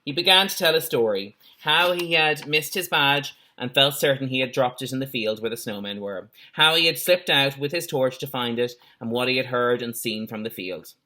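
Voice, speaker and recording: A man reading a children's story aloud in an animated way, with playful asides like a teacher. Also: mid-range voice, moderate pace, hardly any pauses.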